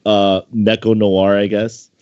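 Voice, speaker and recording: A man's voice: fairly low pitch, level tone, slow pace, clear, resonant tone. A man reading fan fiction aloud in an amateur, casual way, stumbling over the text and commenting on it. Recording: dull, roomy home microphone recording.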